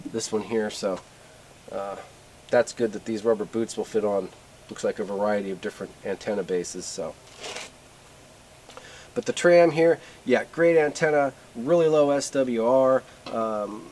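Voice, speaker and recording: A middle-aged man with an American accent giving a casual, unscripted gear review in a plainspoken, folksy, halting way. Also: medium pitch, darkish tone. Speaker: video host reviewing radio gear. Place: in front of a closed garage door. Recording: clear background noise, camera at a distance.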